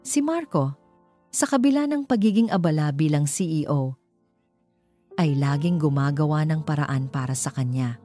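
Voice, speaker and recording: Low, mellow woman narrating romance over music. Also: lively intonation, moderate pace, pauses often. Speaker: storyteller. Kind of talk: scripted dramatic romance narration. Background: background music.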